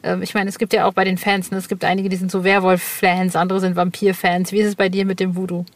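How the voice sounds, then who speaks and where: A woman's voice: on the low side, completely flat delivery, fast talker. A woman hosting a podcast in a casual, slangy, enthusiastic way, rambling and breaking off sentences as she goes. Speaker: podcast host. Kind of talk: casual, chatty podcast talk.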